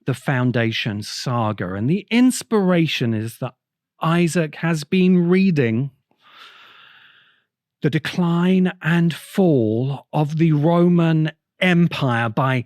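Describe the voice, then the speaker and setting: A male podcast host with a fairly high voice delivers a storytelling essay at a very unhurried pace. He is deliberate, leaving dramatic pauses and repeating words for emphasis. The sound is dry and close. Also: animated, darkish tone, no echo.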